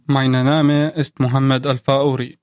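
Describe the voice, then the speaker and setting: A man in his thirties with a Syrian Arabic accent speaks in a flat, even tone. He picks his words slowly and carefully in a language he is still learning. His voice sits at a middle pitch. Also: dry recording, muffled recording. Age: 30s. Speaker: radio volunteer and news translator. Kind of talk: slow careful interview answers in a learned language. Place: radio studio.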